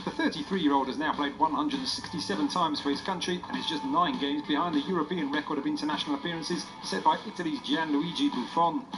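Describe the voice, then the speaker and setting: Higher-pitched male sports news narrator. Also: poor audio. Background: music bed.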